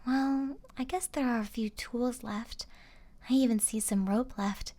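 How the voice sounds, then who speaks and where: A woman's voice: a little high, unhurried, dark tone. A woman playing a loyal, distraught young survivor of a zombie apocalypse in an ASMR roleplay, with an emotional, pleading delivery. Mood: resigned, gentle.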